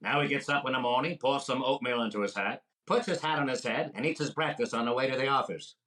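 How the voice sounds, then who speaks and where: An actor playing a brash, fast-talking wisecracking comedian in a deadpan 1940s radio comedy style. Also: male voice, medium pitch, close to an everyday voice, low-quality recording. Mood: bossy deadpan.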